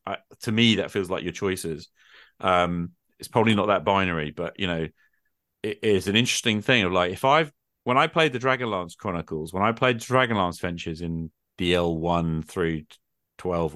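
A middle-aged man with a low voice talks through his ideas in a casual, explanatory way. He often checks in with little tag questions, and the sound is dry and close. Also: fairly bright tone, no room sound. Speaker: podcast host and game master. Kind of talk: extended casual discussion of tabletop gaming.

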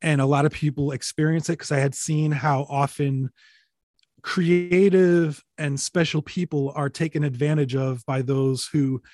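A man being interviewed, telling stories in a relaxed, rambling way with many fillers, restarts and casual swearing. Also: fairly high pitch, a little slow, dry recording, studio quality. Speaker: interview guest, recording artist. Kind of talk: relaxed conversational storytelling.

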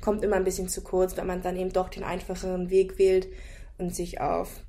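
A woman's voice: on the low side, monotone, a little fast, warm tone. A woman co-hosting a casual review chat, thinking aloud critically and restarting her sentences. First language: German.

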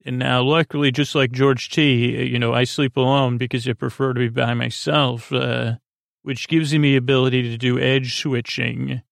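A man hosting a bedtime podcast in a rambling, unscripted way, halting and repeating himself, with a goofy, whimsical, self-deprecating manner. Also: mid-range voice, flat delivery, gravelly, no room sound, studio quality. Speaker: podcast host.

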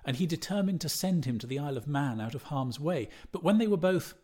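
A man's voice: a little high, animated, a little fast, darkish tone, pitched above the performer's own voice. A man voicing an eager, awkward middle-aged character in a novel, keen to please as he speaks.

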